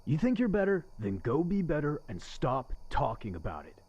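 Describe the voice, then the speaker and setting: Man playing a sarcastic, animated slacker, slightly muffled. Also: on the high side, normal speed, slightly rough, full character voice. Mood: bitter, agitated. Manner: sarcastic, needling. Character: crude, bitter restaurant server facing execution, secretly lonely. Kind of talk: radio play acting.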